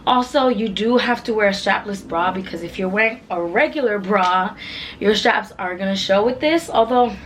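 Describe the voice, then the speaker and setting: A woman in her twenties with a naturally deep voice made hoarse by illness, chatting calmly and quietly through a clothing try-on in her bedroom. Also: normal speed, slight background noise, rough recording. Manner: calm and quiet, with laughs. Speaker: fashion vlogger. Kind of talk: casual try-on haul chat. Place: bedroom with vanity and mirror.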